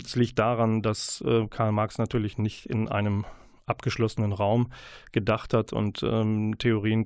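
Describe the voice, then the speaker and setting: A man presenting book tips on a radio show in a conversational, measured way with some hesitations. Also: on the low side, darkish tone, no room sound, clean studio sound.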